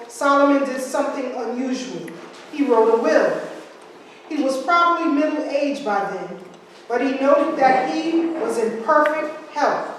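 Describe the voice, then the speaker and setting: Woman lecturer, high register, conversational asides; long hall reverb, distant camera mic. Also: a little hiss or hum. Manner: asides and rhetorical questions to audience. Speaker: history speaker. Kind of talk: lecture reading from slides. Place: large room with hard walls. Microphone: far camera. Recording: echoey hall from far off.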